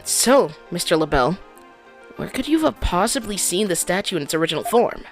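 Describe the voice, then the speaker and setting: A woman's voice: lowish voice, lively intonation, fairly quick, faint music behind, lightly acted. Casting: woman doing a determined young male lawyer, voice moving a lot, accusing. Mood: pressing. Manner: pressing, accusing. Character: earnest young male defense attorney.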